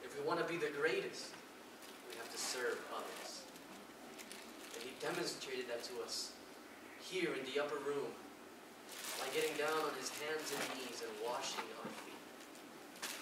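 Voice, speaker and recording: A man playing an earnest, humble disciple of Jesus in a live stage monologue, telling his story deliberately with pauses. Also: mid-range voice, lightly acted. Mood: reflective, humbled. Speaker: actor in a church drama. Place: large echoey indoor space, mic at a distance. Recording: very echoey hall, distant mic, hissy noise.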